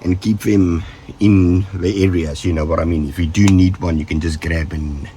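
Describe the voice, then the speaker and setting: A man's voice: low voice, fairly even intonation, normal speed, mellow, a little hiss or hum. A South African man with the flu, giving casual, joking safety tips to his viewers and rambling off with trailing asides. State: sick with flu, rough voice. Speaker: vlogger.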